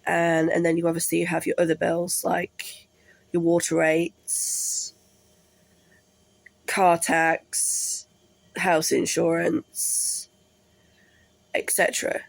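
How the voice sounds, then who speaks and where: Congested woman vlogger, slow gravelly delivery, apologetic and rambling, dry close sound. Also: lowish voice, completely flat delivery, no echo, low-quality recording. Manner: apologetic, rambling, many ums. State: congested with a cold. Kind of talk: casual talk to camera. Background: washing machine running.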